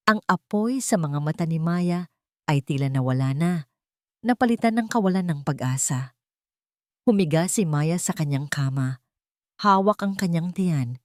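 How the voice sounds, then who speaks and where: Filipino lady storyteller, lowish voice, dramatic scripted narration, really dry recording. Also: a little mellow, no echo.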